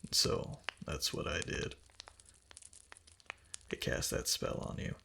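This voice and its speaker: A man playing a doting, obsessive fox-spirit husband in an intimate ASMR roleplay, breathy and half-whispered, tender and hesitant, close to the microphone. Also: slow pace, sharp tone, slight background noise. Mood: tender, explaining.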